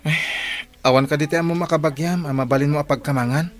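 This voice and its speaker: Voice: medium pitch, fairly even intonation, fast talker, close to an everyday voice. An actor playing a sympathetic, meddling friend with an Ilocano accent and a concerned, insinuating manner in a radio drama.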